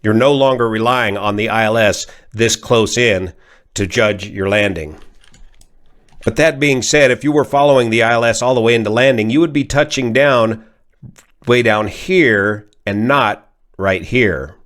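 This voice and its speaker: An American man, a pilot from California, giving an informal analysis in a measured, methodical, technical way. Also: mid-range voice, a bit bright. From California, United States. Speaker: pilot and aviation commentator. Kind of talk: informal explanatory accident analysis.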